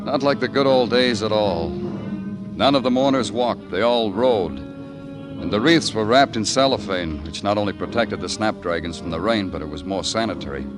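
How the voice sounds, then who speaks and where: An American actor playing a world-weary, sardonic police detective in a radio noir, terse and brooding in dialogue and narration. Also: male voice, on the low side, normal speed, close to an everyday voice. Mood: brooding, wry. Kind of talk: noir scripted narration and 1950s radio acting. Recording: old radio recording with music behind.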